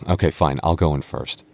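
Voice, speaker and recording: A man playing a deep-voiced, teasing goth boyfriend. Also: fairly even intonation, moderate pace, creaky. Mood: affectionate. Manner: soft, intimate, playfully teasing. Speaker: voice actor. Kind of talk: boyfriend ASMR roleplay.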